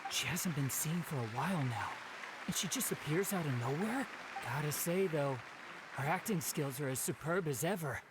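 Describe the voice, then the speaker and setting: A man's voice: fairly high pitch, lively intonation, normal speed, a crowd in the background, background noise, lightly acted. A man voicing a chatty audience member at a theatre in a game. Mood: gossiping.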